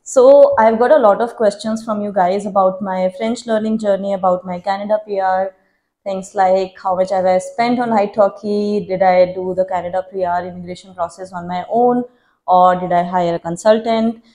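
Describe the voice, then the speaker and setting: A woman in her thirties whose first language is Hindi talks through viewer questions in a casual vlog, reading each one aloud before answering. Her voice is mellow and dark in tone, with an ordinary middle pitch. She sounds earnest and reflective. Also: steady tone, moderate pace. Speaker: vlogger.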